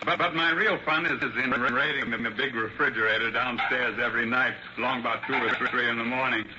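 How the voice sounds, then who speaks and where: An actor playing an older, blind, wealthy mystery writer, courteous and talkative with halting hesitations, in a vintage radio drama. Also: male voice, mid-range voice, steady tone, lightly acted. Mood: genial. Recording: muffled, noisy old radio broadcast.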